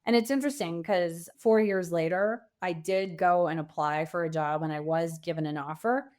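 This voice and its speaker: Woman in her 50s chatting as a podcast guest, lowish voice, open and reflective. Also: moderate pace, a little mellow. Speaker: podcast guest, career coach. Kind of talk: conversational interview answers.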